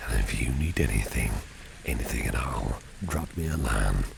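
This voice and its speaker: Man acting as very deep, gravelly, flirtatious boss. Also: exaggerated voice. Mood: tender, flirtatious. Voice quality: gravelly, breathy near-whisper. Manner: slow, suave, soft-spoken. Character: protective, flirtatious male boss, smooth and courtly. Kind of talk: ASMR roleplay. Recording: steady rain noise behind the voice. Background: rain and street ambience.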